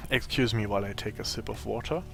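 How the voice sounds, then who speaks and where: A man with a raspy, tired voice giving casual gameplay commentary, thinking aloud as he plays. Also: lowish voice, faint music behind. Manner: slow, thinking aloud while playing. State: voice strained from a long day of talking.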